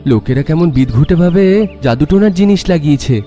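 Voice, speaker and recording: Timid cartoon companion, played by a man: worried, questioning, slightly high and mellow, over background music. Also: animated, brisk, lightly acted. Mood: fretful. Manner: fretful, questioning. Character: timid, worried young brother-in-law companion. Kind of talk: cartoon voice acting.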